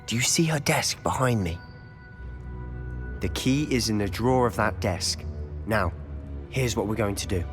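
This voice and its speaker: A man's voice: on the low side, expressive, normal speed, pauses often, music in the background, close to an everyday voice. A man voicing a confident, sly teenage wizard in a video game, with a British accent and a wry, conspiratorial manner. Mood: reassuring. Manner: conspiratorial, wry, self-assured. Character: confident, sly teenage schoolboy wizard, loyal rule-breaker.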